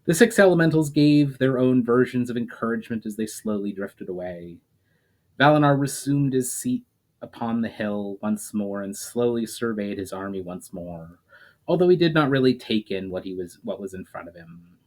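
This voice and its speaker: A man reads his own fantasy novel aloud at a measured pace. His voice sits at an ordinary middle pitch. Now and then he stops to correct a word. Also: a little mellow. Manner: measured storytelling with occasional self-corrections.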